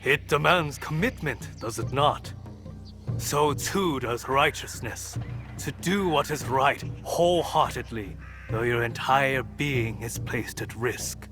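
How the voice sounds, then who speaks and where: A man playing a thoughtful, principled martial arts master in a video game, measured and formal. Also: medium pitch, lightly acted. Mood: grave, instructive. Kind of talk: dramatic game cutscene acting. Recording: faint music behind the voice.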